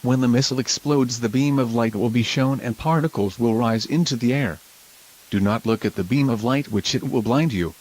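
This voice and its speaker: A man playing a local TV news anchor in a scripted emergency broadcast, speaking in a formal, measured way. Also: medium pitch, a little mellow, natural voice, rough recording. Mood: grave, urgent. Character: local TV news anchor reporting a nuclear attack.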